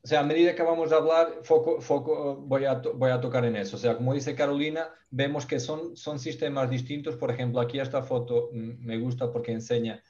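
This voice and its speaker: A man's voice: medium pitch, steady tone, darkish tone, highs cut off. A man from Portugal with a Portuguese accent, speaking Spanish as a second language, giving a technical webinar presentation and often searching for words. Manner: halting, searches for words, many restarts. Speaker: ventilation specialist.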